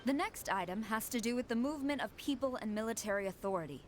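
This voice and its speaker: A woman's voice: a little high, lively intonation, quick pace, natural voice. Woman playing a stern, formal military commander. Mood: firm, businesslike. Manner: formal, businesslike, clipped. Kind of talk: video game voice acting. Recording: game music behind the voice.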